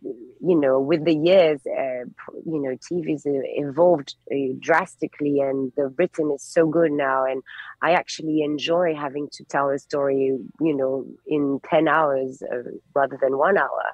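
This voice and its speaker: A woman's voice: sits low, steady tone, measured pace, a little mellow, no echo, poor audio. A woman in her forties with a mixed French and English accent, chatting as a guest and searching for words. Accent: French with English mix. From France. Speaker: actress guest. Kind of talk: radio interview chat.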